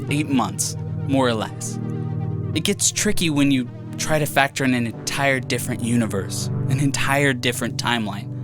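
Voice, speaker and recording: A young man voices a brooding student who trusts patterns over mysticism, speaking in a soft, hesitant, musing way in an audio drama. His voice sits at a middling pitch, with music playing clearly underneath. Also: natural voice. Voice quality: soft-spoken, reflective, hesitant. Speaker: student character.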